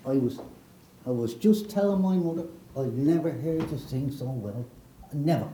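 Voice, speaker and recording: A man's voice: mid-range voice, animated, normal speed, warm tone, echoey room, a little hiss or hum, close to an everyday voice, poor audio. A man playing an effusive young man who insists on his praise. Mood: gushing. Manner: gushing, insistent.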